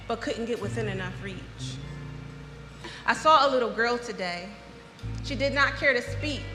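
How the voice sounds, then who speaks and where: Echoey, bright-voiced American woman performing a monologue. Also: a little high. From United States (Philadelphia). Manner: emphatic, poetic delivery with deliberate pauses and stresses. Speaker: storyteller performing an autobiographical monologue. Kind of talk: dramatic spoken-word monologue performed live. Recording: echoey hall with long reverb.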